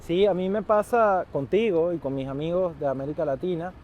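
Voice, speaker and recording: A man's voice: fairly high pitch, a little mellow, a little hiss or hum. A man hosting an interview outdoors on a rooftop, friendly and talkative. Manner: friendly, talkative, quick to joke. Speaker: host. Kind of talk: casual interview.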